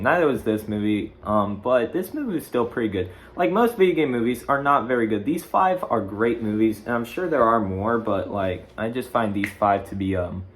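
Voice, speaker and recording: A teenage boy with a fairly low voice talks casually as the host of his own video. He rambles and corrects himself as he goes, like someone chatting off the cuff to viewers. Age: teens, fifteen. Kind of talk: casual chat ranking video game movies.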